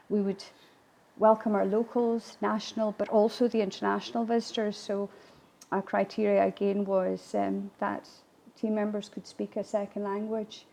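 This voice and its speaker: A woman whose first language is Irish Gaelic, answering interview questions in a reflective way with hesitant pauses mid-phrase. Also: medium pitch, vocal fry, mellow. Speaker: whisky distillery visitor attraction manager. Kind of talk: interview, recounting a business launch.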